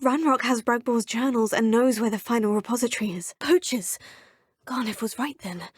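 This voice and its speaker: A woman's voice: fairly high pitch, moderate pace, husky, dry recording, studio quality. A young woman voicing a game's player character, earnest and determined. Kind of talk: game voice acting. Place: recording studio.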